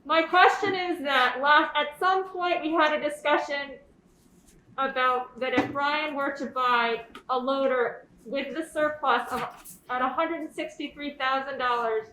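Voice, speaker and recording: A woman on a town selectboard, speaking up loudly through a mask that muffles her voice, pressing questions in a meeting. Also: high voice, steady tone, measured pace, roomy sound, slight background noise, low-quality recording. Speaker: selectboard member. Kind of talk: meeting discussion.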